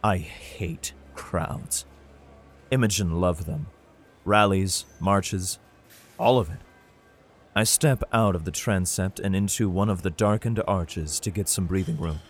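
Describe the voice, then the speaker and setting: A man playing a weary father who narrates a science fiction audio drama in the first person, grave and measured. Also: deep voice. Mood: weary, tense. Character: weary father fleeing with his son through a besieged hive city. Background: music.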